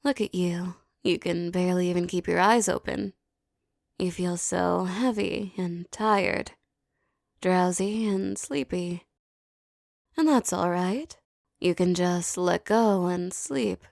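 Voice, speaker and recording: A woman speaks slowly and softly in a commanding, teasing tone, her voice carrying a gravelly fry. The recording is close and dry, with no room sound at all. Her words come unhurried and deliberate. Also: lowish voice, dry recording. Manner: slow, soft, commanding and teasing. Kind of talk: scripted hypnosis roleplay audio.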